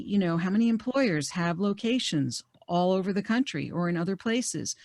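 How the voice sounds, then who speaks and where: A woman's voice: mid-range voice, dry recording, clean studio sound. A middle-aged woman career coach presenting a networking webinar from her home office over a video call, talking conversationally with plenty of fillers. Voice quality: loud voice. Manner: conversational, lots of fillers and restarts.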